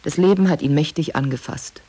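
Mellow-voiced woman reading scripted narration. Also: low-pitched, brisk, a little hiss or hum. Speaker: narrator reading a book summary.

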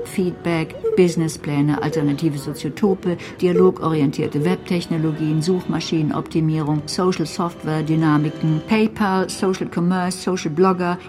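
A woman's voice: sits low, darkish tone. An actress playing an insistent woman who recites a rhythmic, emphatic list in a radio play. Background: music.

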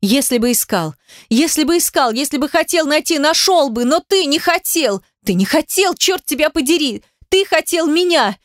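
A woman's voice: on the high side, very animated, moderate pace, no echo, clean studio sound. A woman voicing an embittered, hysterical young mother in an audiobook drama, with breathless, tearful outbursts. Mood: furious, shouting.

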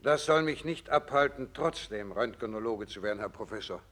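An actor playing a gravely ill but resolute doctor in a 1960s German radio play, speaking in an earnest, measured, insistent way. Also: male voice, on the low side, fairly bright tone.

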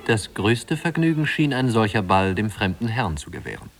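A man narrating a literary tale in a 1950s radio drama, in a measured, wry storytelling manner. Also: on the low side, expressive, faint music behind.

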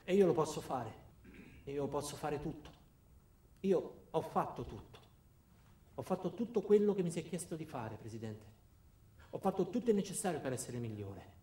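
A man acting a dark-comic monologue as a coldly cruel company man, speaking in a deliberate deadpan. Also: a little high. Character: ordinary company man turned coldly cruel, addressing his boss. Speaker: actor performing a solo monologue. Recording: roomy, echoing sound.

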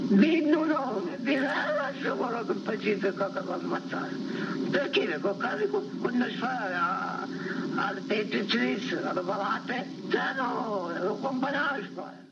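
A man's voice: high voice, vocal fry. A man in his eighties with a Sicilian accent giving spoken eyewitness testimony on an old amateur tape. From Sicily, Italy. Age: 80s. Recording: noisy, muffled, distant 1960 amateur archive tape.

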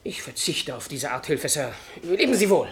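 A man's voice: on the high side, expressive, normal speed, a crowd in the background. An actor playing a proud, earnest young surgeon seeking his fortune in a German radio play, speaking formally. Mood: indignant, proud.

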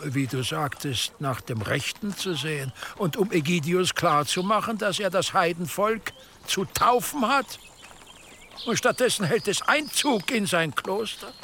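An actor playing an imperious, slyly menacing church dignitary in a medieval radio drama, speaking deliberately and with condescending insinuation. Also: male voice, high-pitched, sharp tone, a little hiss or hum, heavily acted. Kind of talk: German radio drama acting in a medieval setting.

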